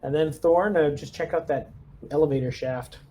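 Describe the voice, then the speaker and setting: A man with a slightly high voice plays a tabletop roleplaying game as squad leader. His talk is casual and a little hesitant, as he thinks aloud while directing others. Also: moderate pace, dark tone, slight background noise.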